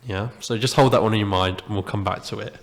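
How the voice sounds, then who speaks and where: A man hosting a podcast, asking questions in a friendly, halting way. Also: lowish voice, steady tone. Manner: friendly, halting, repeats words. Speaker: podcast host. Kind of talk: interview questions. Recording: echoey room with long reverb.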